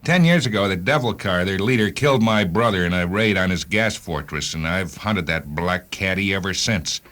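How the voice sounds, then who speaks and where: A man acting a driven, vengeful hunter in a radio drama, with an intense, emphatic and sometimes halting delivery. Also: sits low, expressive, a bit bright. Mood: bitter, brooding. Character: driven, vengeful male hunter of rogue cars.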